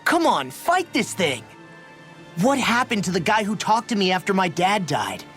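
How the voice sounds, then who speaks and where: A brash, loyal young man from a fantasy game cutscene. His voice sits high and swings widely in pitch, blunt and cocky, with some music behind it. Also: sing-song, normal speed, fairly bright tone. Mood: frustrated, challenging. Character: brash, hot-headed, loyal young male fighter. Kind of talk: dubbed anime-style game voice acting. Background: background music.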